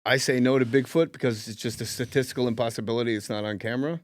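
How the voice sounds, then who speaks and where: A man's voice: mid-range voice, fairly even intonation, fast, no room sound. Brief: middle-aged male comedy podcast host, crude and mocking, dry close sound. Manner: profane, mocking, blunt. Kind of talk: casual comedy podcast chat.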